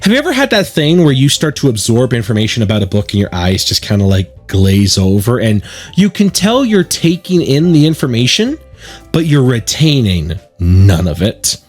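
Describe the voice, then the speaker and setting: A man's voice: medium pitch, sing-song, normal speed, faint music behind. A man giving chatty, digressive commentary while playing through a game. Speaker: let's play streamer. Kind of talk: let's play commentary mixed with reading game text aloud.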